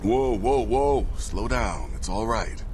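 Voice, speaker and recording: An actor playing a gruff, wry and loyal assassin in a fantasy video game. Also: male voice, medium pitch, huge pitch swings, takes it slowly, darkish tone, slight background noise, heavily acted. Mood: soothing, relieved.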